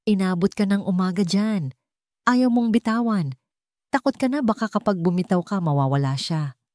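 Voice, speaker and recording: A woman's voice: medium pitch, animated, normal speed, darkish tone, no echo, clean studio sound, natural voice. A woman voicing a hurt, guarded wife and mother in an audio drama, with a pained, reproachful manner. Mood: wistful.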